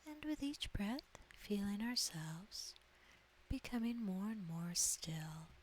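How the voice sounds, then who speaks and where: A woman leads a guided meditation in a soft, breathy ASMR voice that hovers near a whisper. She speaks extremely slowly and deliberately, with a gentle, soothing manner and a medium pitch. A faint hiss sits behind her voice. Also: sing-song, rough recording.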